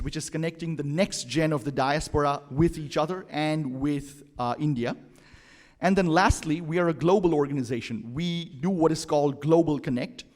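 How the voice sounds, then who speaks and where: A man giving relaxed, chatty opening remarks at a conference, with fillers and questions to the audience. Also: fairly high pitch. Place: conference hall. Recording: long hall reverb.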